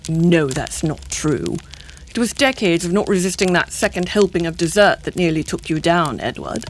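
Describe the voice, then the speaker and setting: An actress playing a sly, seductive middle-aged intelligence handler, teasing and insinuating in formal, deliberate diction. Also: female voice, fairly low pitch, expressive, a little hiss or hum, natural voice, poor audio. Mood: coolly needling. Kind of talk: audio drama acting.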